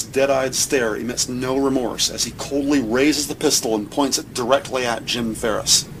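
A man's voice: medium pitch, a bit bright. A man narrating an audio drama, reading scripted scene description in the present tense. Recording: noisy, sound effects behind voice.

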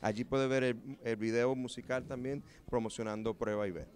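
A man's voice: medium pitch, steady tone, normal speed, darkish tone. A man in his forties from New Jersey, a musician and producer answering interview questions earnestly at an expo booth. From New Jersey, USA. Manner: earnest, with frequent hesitations. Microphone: handheld mic.

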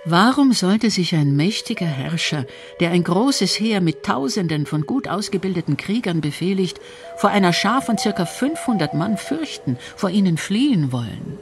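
A man's voice: high-pitched, sing-song, normal speed. A man reading scripted documentary narration as the narrator of an audio feature.

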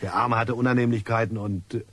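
A scheming gentleman criminal, acted by a man in a radio crime drama. His voice sits at a middle pitch. He is nervy and evasive, answering curtly and with irritation. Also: steady tone, fast talker, lightly acted. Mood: guarded, insistent. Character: shifty, scheming French gentleman, irritable embezzler and killer.

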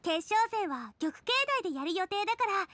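A very high, animated teenage girl chef from an anime game. She is bubbly, earnest and polite, with a bright, eager delivery over faint music. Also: normal speed. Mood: cheerful, earnest. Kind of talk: Japanese anime game voice acting.

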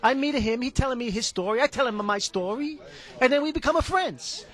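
A man playing an excitable, chatty Italian-American stadium peanut vendor who rambles and repeats himself in broken English. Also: very high-pitched, a little fast. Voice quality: broken English with stray articles. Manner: rambling, repetitive catchphrases. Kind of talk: improvised comic character patter.

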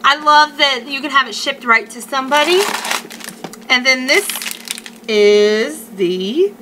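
A woman's voice: high-pitched, expressive, slow. A woman in her thirties giving a chatty, emphatic food review to camera in a casual unboxing style, recorded on a webcam in a living room. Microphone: webcam or laptop mic at arm's length. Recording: faint noise, home webcam sound.

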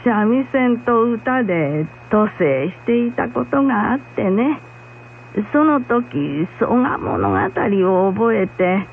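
A woman playing a kindly, frank old blind acupuncturist in a radio drama, gently admonishing. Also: medium pitch, heavily acted. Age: older. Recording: muffled radio recording with hum, faint noise.

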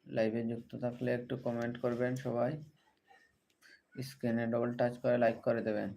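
A man's voice: mid-range voice, monotone, normal speed, vocal fry, warm tone. A man hosting a casual livestream, greeting viewers and repeatedly appealing to them to take part. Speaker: livestream host. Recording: dull lo-fi livestream audio.

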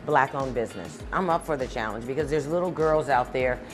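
A woman in her forties, a chef and restaurant owner, talking about her food in a relaxed interview. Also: rumbling low, fairly quick. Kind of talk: interview soundbites. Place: restaurant dining room. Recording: music bed under voice.

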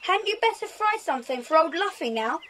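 A breezy English schoolchild in a children's radio play. The voice is very high and bounces around with lively intonation, over faint ambience. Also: female voice, a little fast, a little hiss or hum, exaggerated voice. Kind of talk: children's audio drama acting.

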